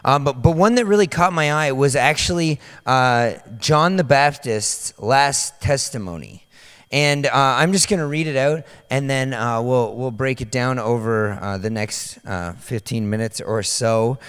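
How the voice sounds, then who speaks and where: A young man preaching a loose, chatty sermon on a church stage into a handheld mic. His voice is partly worn out and slightly hoarse, and he uses many fillers and restarts. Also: mid-range voice, measured pace, a bit bright. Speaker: pastor. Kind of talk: casual sermon. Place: large dark stage in a church hall. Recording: long hall reverb.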